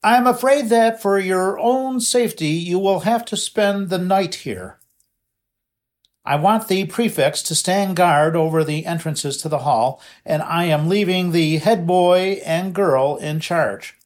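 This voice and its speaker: Elderly male headmaster in a storybook, read by a man: calm, measured, slightly high-pitched. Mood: grave.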